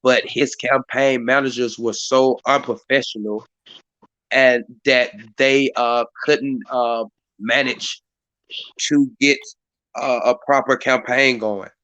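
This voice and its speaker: A hoarse man hosts a community live stream in a rambling way, full of fillers and restarts. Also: medium pitch, fairly even intonation, slow pace, fairly bright tone, no echo, muffled recording. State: hoarse, voice partly lost. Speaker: community activist hosting a live stream meeting. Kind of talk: casual hosted talk. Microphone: live stream mic.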